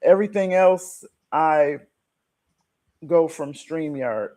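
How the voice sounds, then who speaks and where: A man's voice: on the high side, slow pace, dark tone, slight background noise. A man talking casually as a podcast guest, explaining things in a conversational way. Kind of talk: casual conversation about online platforms.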